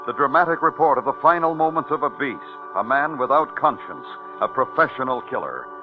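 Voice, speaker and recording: A man's voice: mid-range voice, expressive, brisk. A man introducing a 1950s radio thriller as its announcer, with grave, theatrical phrasing. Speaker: show announcer. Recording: dull old radio recording. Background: dramatic music.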